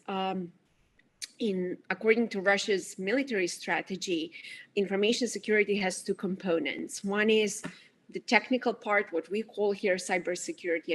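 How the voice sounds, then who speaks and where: A woman from Serbia, giving earnest, halting explanations as a policy analyst guest in an interview. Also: mid-range voice. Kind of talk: interview answers.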